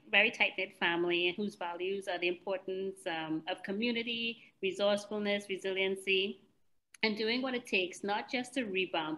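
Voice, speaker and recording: A woman's voice: on the low side, pushed and bright, low-quality recording. A middle-aged woman from Trinidad and Tobago, giving a chatty, self-deprecating business presentation. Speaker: guest speaker, spa owner.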